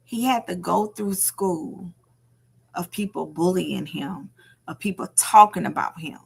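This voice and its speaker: A woman's voice: fairly low pitch, measured pace, vocal fry, husky. A woman giving casual livestream gossip commentary, telling stories emphatically, acting out quotes and repeating phrases for effect. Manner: animated storytelling, acts out quotes. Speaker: livestream host.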